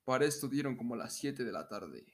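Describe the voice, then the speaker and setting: A man's voice: medium pitch, very animated, a little fast, slight background noise. A young man with a Mexican accent co-hosting a podcast in casual comedic chat and story reading, jokey and prone to breaking into laughter. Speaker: podcast co-host.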